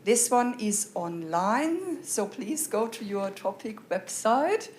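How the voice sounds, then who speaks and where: A woman's voice: fairly high pitch, lively intonation, slow, a little mellow, slight background noise. German-accented woman science lecturer. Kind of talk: self-introduction and course overview.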